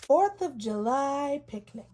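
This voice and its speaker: A woman reading a children's story aloud in an expressive, deliberate storytelling style for young children. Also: high voice, slight background noise.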